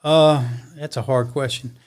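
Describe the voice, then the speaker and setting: A middle-aged man speaks as a guest on a podcast. He answers calmly and briefly, with a dry, understated delivery. His voice sits at a middle pitch for a man. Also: a little slow. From born in Italy, raised in the US. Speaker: guest, criminal defense lawyer. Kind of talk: casual interview answers.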